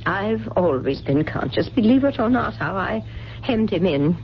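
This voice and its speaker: An actress playing a genteel, wistful middle-aged mother with a bad back in a 1970s radio drama, speaking in halting, exclaiming phrases. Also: mid-range voice, animated. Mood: wistful, cheerful. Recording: muffled, noisy old radio broadcast.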